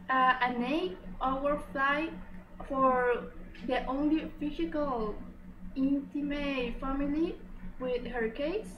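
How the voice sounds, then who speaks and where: Young woman acting a halting, high-voiced cautious doctor. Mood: objecting. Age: teenager or young adult, high school student. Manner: halting, stumbling delivery of lines. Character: cautious female cancer doctor. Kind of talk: amateur student book dramatization. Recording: echoey room, distant mic, clear background noise.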